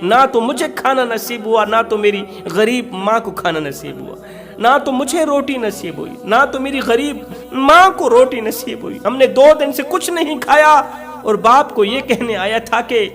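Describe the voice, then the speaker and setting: A man's voice: very high voice, fairly bright tone, exaggerated voice. A man voicing a grieving, hungry six-year-old orphan boy in a sermon story, lamenting plaintively in repeated pleas.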